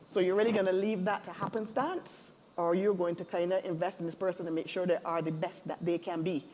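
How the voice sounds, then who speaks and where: A woman's voice: on the low side, fairly even intonation, fairly quick, a little mellow. A woman speaking earnestly on a webinar panel, building her points in emphatic lists.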